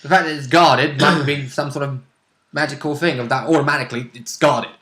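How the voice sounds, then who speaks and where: A man's voice: mid-range voice, fairly even intonation, brisk, bright, forceful tone. A man who plays in a tabletop roleplay game, chatting casually in a blunt, jokey, sweary manner. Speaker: tabletop roleplay player and co-host. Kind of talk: casual chat.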